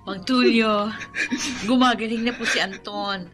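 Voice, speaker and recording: A woman's voice: on the high side, steady tone, unhurried, music in the background, close to an everyday voice. A woman acting an earnest, caring helper. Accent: Filipino. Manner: earnest, respectful. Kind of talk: Filipino radio drama acting.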